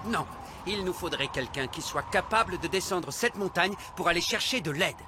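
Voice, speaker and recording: A man's voice: high voice, lively intonation, normal speed, noisy background. A man playing a sensible, slightly stern elf father in a cartoon, explaining things in a matter-of-fact, pessimistic way.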